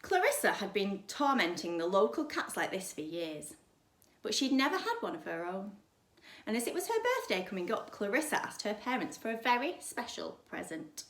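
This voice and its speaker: A woman reading a children's story aloud like a primary school teacher, dramatically, with emphatic pauses and hushed drops. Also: mid-range voice, big pitch swings. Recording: roomy, echoing sound.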